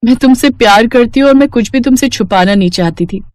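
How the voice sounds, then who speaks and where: A woman's voice: a little high, fast, a little mellow, no room sound, clean studio sound, natural voice. A woman playing a determined, ambitious young woman from a poor family in a cartoon love story, bold and insistent. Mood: earnest, determined.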